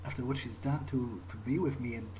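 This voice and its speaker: A man's voice: medium pitch, fairly quick, dark tone, slight background noise. A man improvising an in-character video game monologue as a brooding vampire, hesitant and often repeating his words. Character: brooding, guilt-ridden young male vampire. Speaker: let's player role-playing in character. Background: video game ambience.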